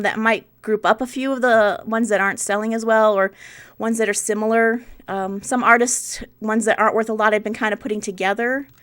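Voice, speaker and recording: Clear-voiced woman in her forties, chatting casually. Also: mid-range voice. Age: 40s. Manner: unscripted, conversational, thinking aloud. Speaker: part-time online reseller, video host. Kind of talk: casual explanatory vlog narration.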